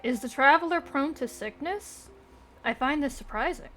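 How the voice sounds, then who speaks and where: A woman's voice: sits high, expressive, moderate pace, fairly bright tone. A woman livestreaming a video game, mixing informal reactions with lines read aloud from the screen. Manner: informal, swears freely.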